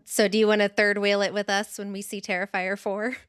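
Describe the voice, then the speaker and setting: A woman with a clear, smooth voice in a dry, close recording banters as a podcast host. She is playful and gushing, stretching out her exclamations in casual conversation. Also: medium pitch, little pitch movement, fairly quick, a bit bright, no echo.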